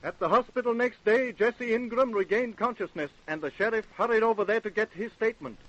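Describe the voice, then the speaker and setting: An actor as an earnest narrator, reading scripted narration of a crime case in an old-time radio drama. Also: male voice, high voice, a little fast, close to an everyday voice. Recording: dull, lo-fi old radio recording.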